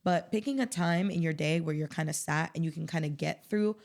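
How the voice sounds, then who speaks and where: A woman's voice: sits low, a little fast, a little mellow. A woman appearing as a guest on a casual podcast, giving practical advice and filling her talk with "like" and "um". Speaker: podcast guest, marketing specialist.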